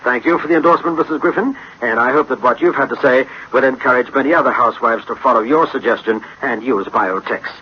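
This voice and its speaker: A man with a rough, husky voice delivering courteous, formal 1960s radio advertising. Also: mid-range voice, quick pace, few pauses, slight background noise. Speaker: sponsor presenter. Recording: dull vintage radio recording.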